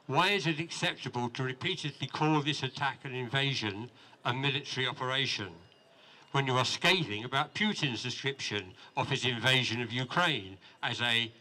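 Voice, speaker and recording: Man in his seventies, mid-pitched, bright forceful tone, reading a statement, outdoor rally, handheld microphone. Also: poor audio. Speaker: campaign group officer reading a letter. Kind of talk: prepared statement read aloud at a rally. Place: outdoors in a busy city street. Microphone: handheld microphone held close to the mouth.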